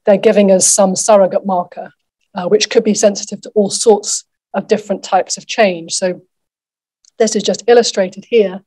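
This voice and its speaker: Female academic keynote speaker, middle-aged, medium pitch, measured explanatory lecture delivery. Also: steady tone, warm tone, slightly rough, low-quality recording. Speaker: keynote speaker, neuroscience researcher. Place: lecture theatre, at a lectern.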